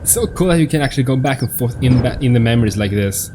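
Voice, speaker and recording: A man's voice: mid-range voice, lively intonation, quick pace, background noise. A man giving casual, off-the-cuff commentary as he plays a game, thinking aloud and repeating himself. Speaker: gamer commentating on a game playthrough. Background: game music.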